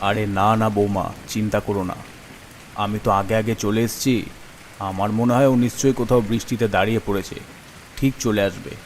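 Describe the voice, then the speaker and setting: A man's voice: on the low side, fairly even intonation, brisk, slight background noise, natural voice. A man playing a kindly, reassuring village schoolmaster in a cartoon story. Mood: reassuring, caring. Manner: reassuring, fatherly, concerned. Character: kindly village schoolmaster who doubles as doctor. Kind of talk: Bengali cartoon story acting.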